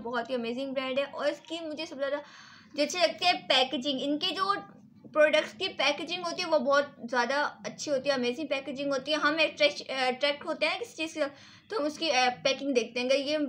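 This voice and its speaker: High-pitched woman, casual hands-on review, instructive, repeats herself, sprinkles in English words. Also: a bit bright, a little hiss or hum. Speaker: beauty vlogger and salon owner.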